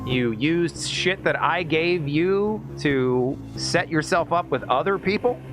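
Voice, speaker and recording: A man's voice: fairly high pitch, animated, slow pace. A man chatting casually as he hosts a game livestream, rambling and stammering with repeated words and slangy, salty language. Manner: informal, profane and slangy. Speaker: livestream host playing a video game. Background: game music.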